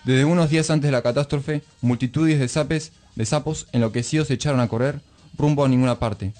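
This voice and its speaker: A man's voice: mid-range voice, fairly quick, darkish tone. Argentine man on radio, music behind. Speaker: radio show co-host. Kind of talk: casual radio talk and reading aloud. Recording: music playing under the voice. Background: background music.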